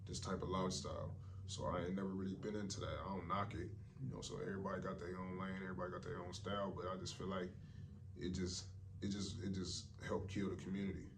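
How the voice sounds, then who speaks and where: A young man, a rapper, talking casually in an interview with loose slang and a deep voice. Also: level tone, fast, vocal fry, distant mic, noisy background. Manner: laid-back, loose slang, repeats "you know what I'm saying".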